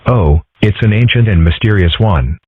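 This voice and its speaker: Man as a low, husky, deadpan dragon son. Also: normal speed, no echo, narrow, tinny audio. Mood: explanatory. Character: calm, dry-witted young male dragon son. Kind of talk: comic dub character acting.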